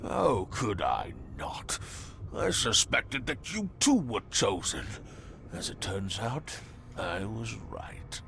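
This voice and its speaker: Theatrical zealous cultist, creaky and intense. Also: male voice, fairly low pitch, sing-song, a bit bright, faint music behind, full character voice. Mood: solemn. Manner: theatrical, formal, measured, often dropping to a breathy murmur. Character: zealous, haughty male cultist driven by destiny. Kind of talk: dramatic video game cutscene acting.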